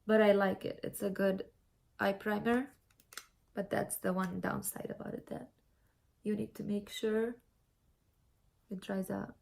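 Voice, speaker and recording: A woman's voice: mid-range voice, level tone, a little slow, gravelly, long pauses, darkish tone. Young female beauty vlogger, Syrian Arabic accent, mid-range voice, informal tutorial chat with emphatic repetition. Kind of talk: casual makeup tutorial chat.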